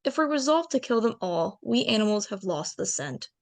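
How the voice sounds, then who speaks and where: A woman voices a heartfelt female bear addressing a council of animals in a homemade radio play. Her earnest, pleading voice rides a lively, wide-ranging pitch and drops into gravelly low notes. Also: moderate pace. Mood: impassioned. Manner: earnest, pleading speech-making. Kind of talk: amateur radio drama table read.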